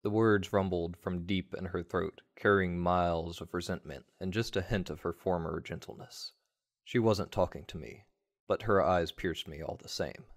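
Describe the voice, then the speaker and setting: Deep-voiced man reading a story. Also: steady tone, moderate pace. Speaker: narrator of an audio story. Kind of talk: first-person dramatic story reading, like a radio play.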